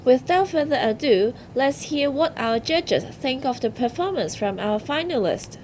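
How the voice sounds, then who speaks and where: A female co-host reads out a prepared results announcement. Her pitch is somewhat high, and a light noise runs behind the voice. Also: animated, a little slow, darkish tone. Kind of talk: scripted announcement.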